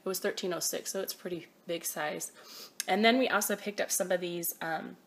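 Woman, 30s, hoarse cold voice, low-ish, rambling home haul chat on a phone camera. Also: fairly even intonation, normal speed, a bit bright. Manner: chatty, rambling, hesitant with many ums. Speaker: vlogger. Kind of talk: casual grocery haul show-and-tell. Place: at home, by a table.

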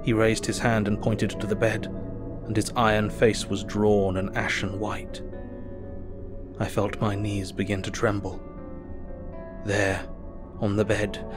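A man acting an earnest asylum doctor who reads his diary aloud in an audio drama. The voice is low, solemn and measured, with clear music underneath. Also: lightly acted. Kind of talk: first-person dramatic audio narration.

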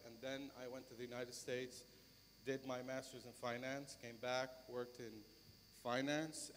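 Lebanese man speaking in a reverberant hall. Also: mid-range voice. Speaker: conference speaker, photographer. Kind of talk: informal public presentation. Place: large university lecture auditorium. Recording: reverberant lecture hall, background noise.

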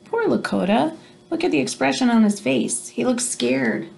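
A woman in her thirties reads a picture book aloud to children in an expressive, teacherly way, pausing to explain things. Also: high-pitched, creaky. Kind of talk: read-aloud storytelling with explanatory asides for children. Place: small room indoors at home. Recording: home recording with background noise.

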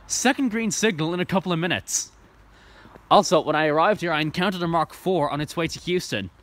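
A man's voice: a little high, fairly quick, fairly bright tone. A young man from Ireland filming at an outdoor train station platform on a phone, narrating casually and eagerly with lots of ums and restarts. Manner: rambling, full of ums and restarts, enthusiastic. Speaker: trainspotting vlogger. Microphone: phone camera held by the filmer.

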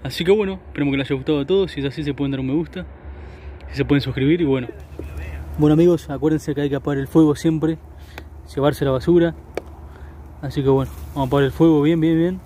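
A man's voice: a little high, steady tone, quick pace, pauses often, mellow, a little hiss or hum. A young man with an Argentine Spanish accent, chatting casually to camera as a fishing vlogger on a riverbank, often tagging his sentences with "eh". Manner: chatty, upbeat, tags sentences with "eh". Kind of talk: casual outdoor fishing narration. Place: riverbank outdoors.

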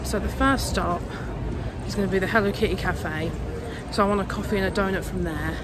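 A woman with a croaky voice from a cold, chatting casually and rambling through a travel vlog with frequent ums. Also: mid-range voice, a little fast, very noisy. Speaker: travel vlogger.

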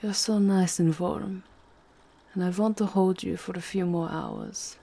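A woman playing a seductive, aristocratic vampire countess in an intimate ASMR roleplay, with a breathy, husky voice that often drops to a whisper. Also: on the low side, huge pitch swings, warm tone, exaggerated voice. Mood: tender, languid. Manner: slow, sensual, unhurried murmuring.

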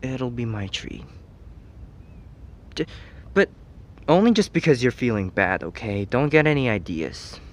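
Man acting a slow-talking tsundere teen best friend. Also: mid-range voice, big pitch swings. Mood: teasing, reluctantly kind. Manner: hesitant, soft-hearted, teasing, feigns reluctance. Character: teenage boy tsundere best friend, caring but teasing. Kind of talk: ASMR roleplay acting.

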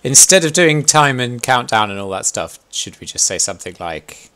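A man's voice: medium pitch, big pitch swings, moderate pace, pushed and bright. A young man leading a sailing club game session on a headset mic, chatty, laughing often and thinking aloud as he plays. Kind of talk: casual instructive chat while gaming.

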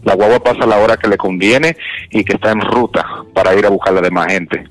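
A man's voice: on the low side, quick pace, rough recording. A man explaining things patiently in a casual phone conversation, mixing in English words. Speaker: staffing office employee taking a call.

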